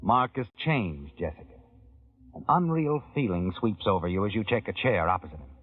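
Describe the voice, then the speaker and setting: A man's voice: on the low side, lively intonation, normal speed, slightly rough, dry recording. A man narrating a vintage radio thriller in the second person, in an insinuating, probing manner. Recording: tinny old radio broadcast with music.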